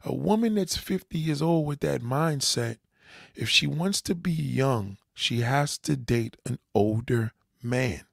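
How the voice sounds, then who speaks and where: A man's voice: medium pitch, lively intonation, a little slow, gravelly, no room sound, clean studio sound. A man giving blunt dating advice in a reaction commentary, lecturing and often checking whether the listener understands. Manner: lecturing, repeats "you understand?"; blunt, swears.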